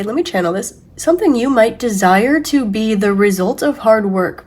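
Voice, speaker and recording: Stuffy woman tarot reader, soft and searching, slow channeled delivery, average pitch. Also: husky. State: stuffy nose. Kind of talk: intuitive tarot reading, channeling a message.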